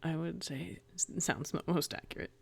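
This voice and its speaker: A soft-spoken, reverent man voiced by a woman in a tabletop roleplay. The voice is breathy and nearly whispered, with a heavy fry. He halts mid-thought as he searches for words. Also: deep voice, steady tone, heavily acted. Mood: hesitant, awed. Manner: hushed, halting, pausing mid-thought.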